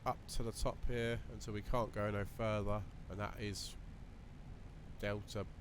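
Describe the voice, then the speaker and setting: A man with a lowish, slightly croaky voice talks casually through his simulator checklist, thinking aloud and filling gaps with ums and uhs. A steady cockpit engine drone sits clearly behind him. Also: measured pace. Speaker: flight sim video creator. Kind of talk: relaxed running commentary while flying a simulator. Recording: voice over loud simulator cockpit noise.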